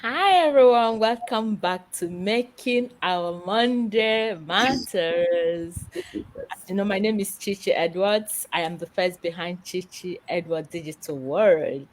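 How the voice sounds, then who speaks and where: A woman, co-hosting a casual online business show, chatting in a relaxed, joking way. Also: on the low side, takes it slowly. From Nigeria. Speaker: co-host.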